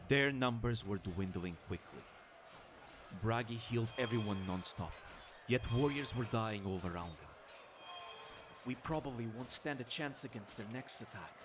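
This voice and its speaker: A man narrating a scripted fantasy war story as the narrator of an audio drama. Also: on the low side.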